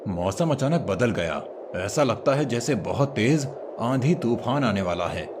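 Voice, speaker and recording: A man's voice: mid-range voice, expressive, unhurried, noisy background, lightly acted. A man voicing a stern, protective middle-aged king and father with a commanding manner in a cartoon story. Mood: urgent. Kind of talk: cartoon moral story acting. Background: music.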